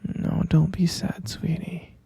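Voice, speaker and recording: A man playing an obsessive, possessive yandere captor in an ASMR roleplay, coaxing the listener with sweetly menacing endearments in a breathy, creaky half-whisper. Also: fairly high pitch, level tone, mellow, no room sound, full character voice. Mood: possessive, soothing. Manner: slow, coaxing, repetitive soothing endearments.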